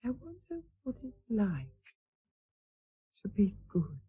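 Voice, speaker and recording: A woman playing a bitter, coarse-tongued fallen mistress in a radio drama, husky and histrionic. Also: medium pitch, very animated, takes it slowly, narrow, tinny audio, exaggerated voice. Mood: bitter, wounded. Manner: histrionic, mocking.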